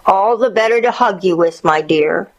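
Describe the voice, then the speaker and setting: A woman voicing a hungry, cunning male wolf who feigns a grandmother's sweetness in a children's storybook, coaxing and drawn-out. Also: medium pitch, dull audio, lightly acted. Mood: hungry, menacing.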